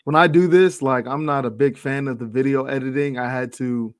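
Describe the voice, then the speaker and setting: A man's voice: medium pitch, steady tone, fairly quick, dry recording, clean studio sound. A man hosting a casual interview, asking questions and affirming with short replies. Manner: friendly, affirming with "right" and "yeah, man". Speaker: interview host.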